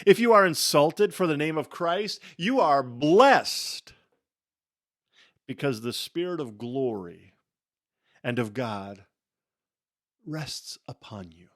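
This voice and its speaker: A man with a fairly high voice reads scripture as a dramatic performance. His delivery is very slow and solemn, leaning on key phrases and leaving deliberate pauses between them. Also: expressive. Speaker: Bible reader.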